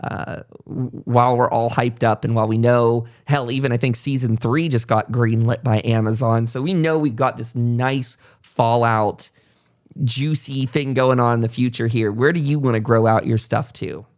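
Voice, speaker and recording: A man's voice: mid-range voice, fairly even intonation, normal speed. Guy hosting a casual interview podcast, mid-range voice, talkative and kinda reflective. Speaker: podcast host.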